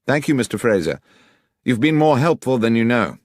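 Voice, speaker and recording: A man voicing a sharp, precise gentleman detective who questions people with dry irony. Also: medium pitch, very animated, normal speed, dry recording, pitched above the performer's own voice. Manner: crisp, probing, dryly ironic. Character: sharp, precise male detective, middle-aged.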